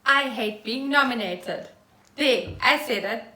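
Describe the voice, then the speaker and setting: A woman's voice: a little high, slow, pushed and bright. A woman chatting casually as a podcast co-host in a living room, with wry, dry asides. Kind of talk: casual chat. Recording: roomy echo.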